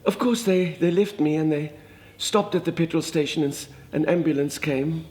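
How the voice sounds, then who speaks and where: A man's voice: fairly high pitch, moderate pace, darkish tone, natural voice. A man playing a chatty older woman with an Afrikaans South African accent, a minister's wife telling a dramatic, exclamatory story. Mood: gossipy. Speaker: comedic monologist. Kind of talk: comic storytelling monologue.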